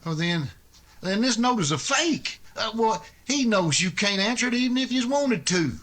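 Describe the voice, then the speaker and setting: High-voiced anxious sidekick. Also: male voice, animated, moderate pace, a bit bright. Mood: puzzled, worried. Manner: folksy, hesitant. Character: anxious, simple, loyal male deputy sidekick in the Old West. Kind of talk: old-time radio western acting.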